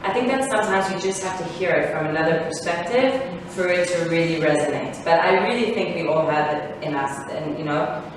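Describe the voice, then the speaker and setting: A woman's voice: on the low side, fairly quick, hardly any pauses. A woman in her thirties, born in Israel and raised in South Africa, giving a rambling personal talk to parents with many fillers and restarts. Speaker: guest speaker. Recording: echoey hall from far off, faint noise.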